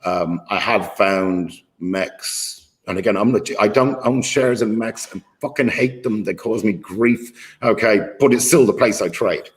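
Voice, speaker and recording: A man's voice: on the low side, measured pace, hardly any pauses. A man speaking as a guest market maker in a casual conversation, rambling with many fillers and blunt swearing. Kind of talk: casual video-call conversation. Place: at home in his city.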